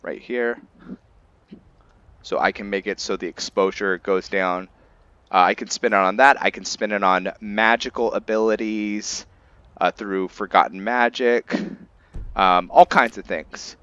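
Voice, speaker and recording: A man hosting a gaming video, giving casual, halting commentary in an informal, rambling way. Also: lowish voice, steady tone, pushed and bright, clean tone, dry recording, dull audio. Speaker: gaming channel host. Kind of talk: casual gameplay commentary introducing a roleplay character.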